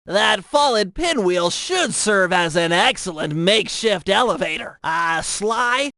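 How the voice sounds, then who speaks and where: An extremely high, creaky young turtle brainiac from a cartoon game. He is nasal and precise, an earnest planner who explains everything in wordy technical terms. The sound is very sharp and forceful. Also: expressive, measured pace, no room sound, clean studio sound, heavily acted. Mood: matter-of-fact briefing. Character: nerdy, brainy young male turtle tech genius, earnest, excitable. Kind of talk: cartoon video game voice lines.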